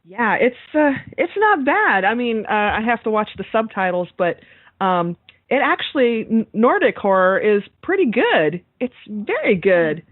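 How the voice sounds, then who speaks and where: Woman, podcast co-host, casual film chat, exclamatory, mid-pitched, tinny call sound. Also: sing-song, a little slow, no echo. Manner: emphatic, exclamatory, swears casually. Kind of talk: casual horror movie chat. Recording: narrow phone-like call audio, dry.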